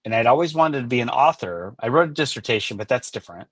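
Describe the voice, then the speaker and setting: A man's voice: mid-range voice, expressive, fast, dry recording. A man whose first language is Spanish, a guest author on a webinar interview, speaking in a reflective, earnest way. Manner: reflective and earnest, with soft affirming murmurs.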